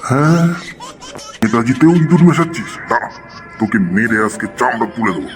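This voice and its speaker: A man's voice: mid-range voice, animated, a little fast, music in the background, close to an everyday voice. A man voicing an exasperated, threatening schoolteacher in a comedy cartoon, with a scolding, melodramatic delivery. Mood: outraged.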